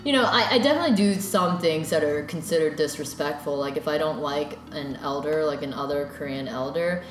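Low-voiced chatty young woman, echoey room. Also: fairly quick, soft background music. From United States. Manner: chatty, filler-heavy, animated when quoting parents. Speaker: interviewee. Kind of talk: casual conversation. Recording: echoey room with background noise.

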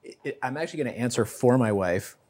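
A middle-aged man with a mid-range voice talks in a casual group discussion. He is wry and animated, often poking fun at himself. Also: mid-range voice, fast. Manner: wry and animated, self-deprecating. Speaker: panel guest.

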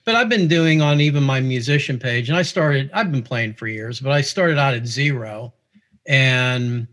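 A man's voice: mid-range voice, moderate pace, a bit bright. A man with a Pittsburgh accent, a guest musician chatting casually in a rambling way and chuckling often. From Pittsburgh, USA. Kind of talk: casual chat.

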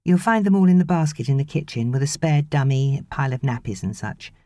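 A British woman voicing a brisk, fussing young mother in an audiobook. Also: very deep voice, animated, dark tone, dry recording. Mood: brisk, instructing. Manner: brisk, instructing.

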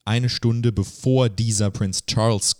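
A man with a low voice tells a story in a casual podcast style. His phrasing is slangy and hesitant, with English words dropped in. The sound is dry, with very little room. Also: steady tone, a little slow, no echo. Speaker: podcast co-host.

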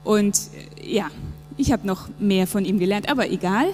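A woman's voice: medium pitch, moderate pace, darkish tone. Reflective woman from Japan, reverberant. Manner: reflective, earnest, with fillers. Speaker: interviewed church member. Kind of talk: casual conversation in a church service interview. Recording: long reverb with faint music behind.

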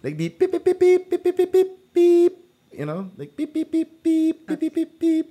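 A man's voice: extremely high pitch, moderate pace. A man hosting a casual true-or-false quiz, telling stories with lots of "like" fillers. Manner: animated storyteller, many "like" fillers. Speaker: quiz host.

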